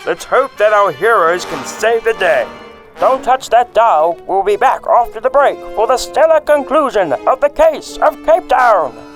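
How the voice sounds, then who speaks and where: High, swooping man as 1950s radio announcer. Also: animated, a little slow, fairly bright tone, soft background music, low-quality recording. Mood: excited. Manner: dramatic, showy. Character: excitable male 1950s radio serial announcer.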